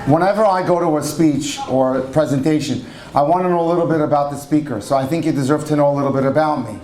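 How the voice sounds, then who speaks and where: A man's voice: fairly high pitch, fast talker, a little mellow, faint music behind. A man in his sixties, a rabbi born in Egypt, telling his life story to an audience in a conversational storytelling manner. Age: 60s. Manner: storytelling, conversational with Hebrew terms. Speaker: rabbi and lecturer. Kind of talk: personal talk to an audience. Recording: echoey hall with faint ambience.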